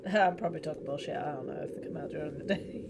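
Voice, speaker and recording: A woman's voice: low-pitched, racing. A woman doing casual live game commentary, chatting to her viewers in an informal, rambling way. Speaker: live streamer. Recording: steady audible background noise.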